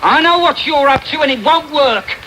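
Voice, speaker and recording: An actor playing a brisk, sardonic English police constable in a period radio play, rough and bluff. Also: male voice, very high-pitched, expressive, reverberant. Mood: gruffly impatient. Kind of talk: period radio drama acting. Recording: noisy medium-wave radio taping.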